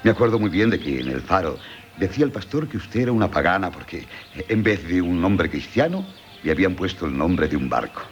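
An actor with a Castilian accent playing a courteous, attentive professor and old family friend, speaking formally in a 1970s Spanish radio drama. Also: male voice, sits low, expressive, fairly quick, slight background noise. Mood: reminiscing. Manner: polite, formal. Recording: muffled 1970s radio broadcast.

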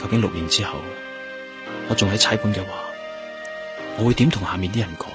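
A man plays a dutiful Hong Kong police officer with a lowish voice. He speaks Cantonese in a sincere, restrained, introspective way. The radio drama has music playing clearly beneath him. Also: brisk, natural voice. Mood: brooding. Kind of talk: Cantonese radio drama acting with inner monologue.

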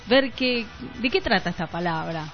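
A woman's voice: mid-range voice, animated, normal speed. A woman chatting casually as a co-host on a radio show. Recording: dull lo-fi radio, faint music behind.

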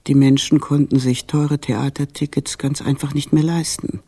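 A man with a raspy, creaky voice reading a translated interview as documentary voice-over. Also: a little high, level tone, normal speed, dark tone.

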